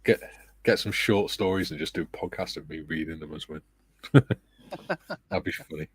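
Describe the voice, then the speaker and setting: A middle-aged man hosting a relaxed livestream, reading viewer comments aloud with a soft, soothing, self-deprecating manner and frequent laughs. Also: very deep voice, normal speed, breathy edge, dry recording. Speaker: livestream host.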